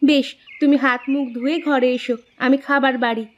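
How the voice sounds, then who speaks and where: A woman playing a sweet, obedient, anxious village wife in a cartoon, speaking in a gentle, expressive way. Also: sits high, a little fast, dull audio, lightly acted. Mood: affectionate. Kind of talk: Bengali cartoon dialogue. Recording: music bed behind the voice.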